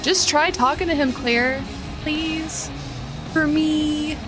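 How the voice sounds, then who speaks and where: An actress playing a bold, coaxing young woman out partying in an audio drama, with expressive delivery. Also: sits high, takes it slowly, music in the background. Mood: exasperated, coaxing.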